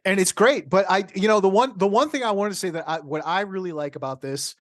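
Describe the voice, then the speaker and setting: A woman's voice: medium pitch, fast. A woman hosting a podcast, commenting casually and informally, often breaking off and restarting her thoughts. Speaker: podcast host.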